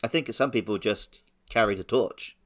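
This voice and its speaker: Guy with a lowish voice chatting about games on a podcast. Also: normal speed, a bit bright. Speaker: podcast co-host. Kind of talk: casual chat about a video game.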